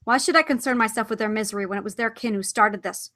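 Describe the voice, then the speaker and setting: A woman's voice: on the high side, fast, pushed and bright. A woman voicing an angry, grieving young warrior, reading his lines with a light accent. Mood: bitter. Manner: heated, with a put-on accent.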